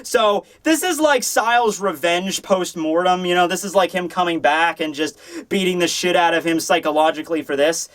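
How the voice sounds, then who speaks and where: High-pitched man, casual reviewer. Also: normal speed. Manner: rambling, animated retelling with acted-out quotes. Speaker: manga chapter reviewer. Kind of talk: casual, profane review commentary.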